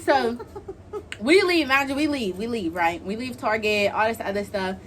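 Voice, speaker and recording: Young american girl doing storytime, kinda high bouncy voice, slangy n giggly, bit of background noise. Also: expressive, moderate pace, fairly bright tone. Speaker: vlog host. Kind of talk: casual storytime chat.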